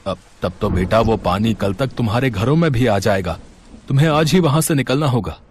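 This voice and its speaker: A man's voice: medium pitch, expressive, moderate pace, slight background noise, natural voice. A man playing a worried, scolding older father in a cartoon. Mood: concerned.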